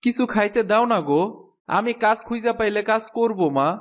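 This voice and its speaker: Man voicing a high-pitched, plaintive poor village boy. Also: a little fast, natural voice. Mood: meek pleading. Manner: pleading, plaintive. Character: poor orphaned village boy Sumon, meek and sorrowful. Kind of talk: cartoon fairy-tale voice acting.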